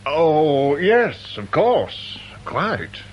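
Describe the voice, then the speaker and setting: An actor playing a drily sardonic senior police officer, terse and understated, in a radio drama. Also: male voice, on the high side, big pitch swings, drawn-out words, exaggerated voice. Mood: cool, ironic. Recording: dull old radio recording, faint noise.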